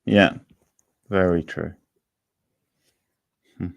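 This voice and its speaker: A man's voice: low voice, steady tone, very slow, vocal fry, dry recording. A man hosting a quiz, chatting casually and informally with viewers on a livestream. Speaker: quiz host.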